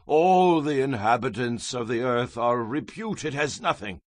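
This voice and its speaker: A man's voice: mid-range voice, lively intonation, unhurried, no echo, close to an everyday voice. A man playing a proud, devout ancient Babylonian king in a grand, declamatory dramatized scripture reading. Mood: exalted praise.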